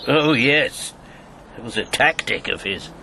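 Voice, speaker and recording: An actor playing a guarded, wry older former wartime radio agent in a radio drama, with a terse delivery. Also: male voice, mid-range voice, lively intonation, a little slow, gravelly, background noise. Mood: defensive. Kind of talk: naturalistic radio drama acting.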